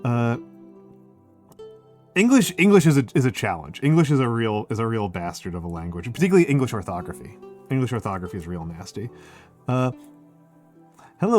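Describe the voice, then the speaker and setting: Stammering male streamer over faint music. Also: fairly low pitch. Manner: stammering restarts, wordy and self-deprecating. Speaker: streamer painting miniatures. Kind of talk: casual stream chat.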